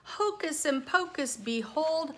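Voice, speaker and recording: Woman, picture book read-aloud, unhurried pace, animated swooping intonation, dramatic emphasis, casual asides. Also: high voice, slight background noise. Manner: expressive, dramatic emphasis, lingering on words.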